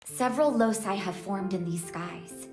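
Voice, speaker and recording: A woman's voice: medium pitch, measured pace, darkish tone, close to an everyday voice. An actress voicing a young-looking priestess of a dragon god, a long-lost doting mother, in an English game dub. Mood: solemn, explanatory. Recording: echoing voice under prominent music. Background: guitar and instrumental music.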